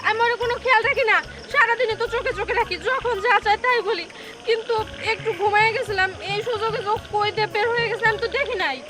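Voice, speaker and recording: A woman's voice: extremely high pitch, fairly even intonation, fast. A woman playing an anxious, defensive village mother in a comedy drama, with a Bangladeshi accent. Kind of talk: Bengali web comedy drama acting. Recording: music under the voice.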